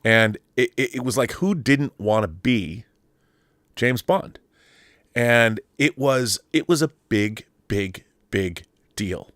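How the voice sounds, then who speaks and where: A man's voice: mid-range voice, expressive, slow pace, fairly bright tone, no room sound, studio quality. A middle-aged man from the Pacific Northwest of the United States hosting a podcast, talking through a loose, rambling monologue full of asides and restarts. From Mercer Island, Washington, USA. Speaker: podcast host. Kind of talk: casual monologue.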